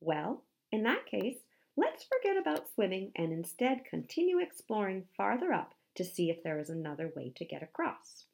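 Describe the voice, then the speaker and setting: A woman's voice: mid-range voice, wildly swooping pitch, normal speed, creaky, hardly any pauses, a little mellow. A woman voicing an enthusiastic, earnest and cheerfully naive male polar bear explorer in a storybook. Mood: eager, earnest.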